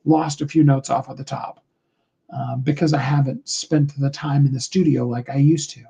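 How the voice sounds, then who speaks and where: A middle-aged man with a high tenor voice, giving a rambling, earnest motivational talk on a live call, full of fillers. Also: steady tone, normal speed, gravelly, dark tone, breathy edge, muffled recording.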